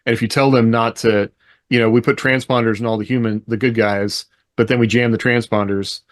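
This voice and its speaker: A man's voice: medium pitch, level tone, fairly quick, dry recording, studio quality. A man co-hosting a podcast in casual panel discussion, restarting his sentences to qualify his points. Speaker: podcast co-host.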